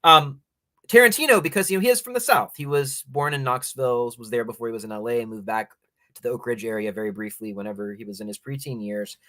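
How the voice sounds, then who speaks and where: Measured, bookish male commentator. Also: mid-range voice, sharp tone, dry recording. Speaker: host of a culture commentary show. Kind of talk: opinionated film commentary with passages read aloud.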